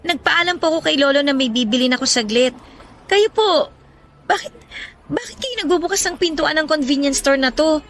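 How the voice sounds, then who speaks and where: A woman's voice: sits high, expressive, a little fast, a bit bright. A woman with a Filipino accent playing a worried, inquisitive schoolgirl daughter in a radio drama, respectful but insistent. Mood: surprised, curious. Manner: insistent, questioning. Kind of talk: Tagalog radio drama acting.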